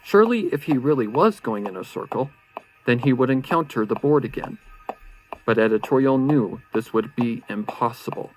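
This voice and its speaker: A man's voice: medium pitch, expressive, normal speed, rough recording. A man narrating a horror story in a suspenseful, theatrical way. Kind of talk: dramatic horror fan-fiction narration. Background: faint music.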